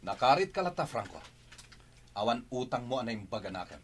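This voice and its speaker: A man's voice: medium pitch, very animated, fairly quick, breathy edge. An actor playing a stern, righteous man confronting those who betrayed him, with an Ilocano accent, in a radio drama. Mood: challenging, resolute. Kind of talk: Filipino radio drama acting.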